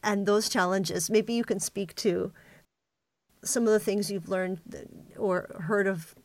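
A woman's voice: medium pitch, fairly even intonation, measured pace, darkish tone, no echo. A woman hosting a reflective interview, conversational and tentative as she feels for her words. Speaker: podcast interview host.

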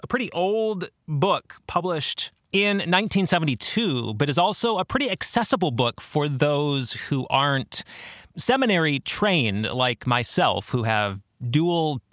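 A man hosting a podcast, explaining theology in a conversational, earnest way with expressive emphasis. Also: a little high, measured pace, no room sound, clean studio sound. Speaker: podcast host.

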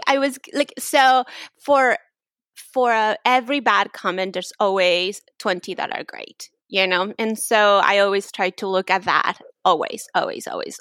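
A young woman with a Mexican accent talks freely as a podcast guest and keeps circling back to restart her sentences. Her voice sits at a middle pitch, and the recording is close and dry with no room sound. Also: a bit bright, dry recording. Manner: chatty, laughs often, many restarts. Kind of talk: casual interview chat.

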